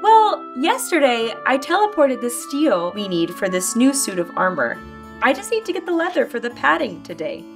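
A young woman playing a proud, encouraging blacksmith in a children's readers' theater piece, with animated read-aloud delivery. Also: sits high, normal speed. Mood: cheerful, proud. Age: young adult. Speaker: teacher acting with children. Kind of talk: readers' theater, reading from script. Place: indoor stage set with stone-wall backdrop. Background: music.

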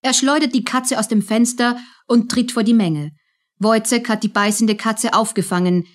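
A woman's voice: a little high, steady tone, moderate pace. A woman narrating a German radio play in a scripted, storytelling style.

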